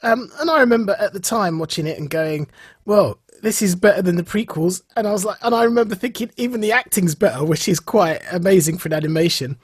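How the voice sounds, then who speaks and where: A man's voice: sits high, fast, slightly rough, dry recording, clean studio sound. A man co-hosting a podcast, chatting casually about shows in an enthusiastic, emphatic way. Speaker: podcast co-host. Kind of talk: casual chat, reviewing TV shows.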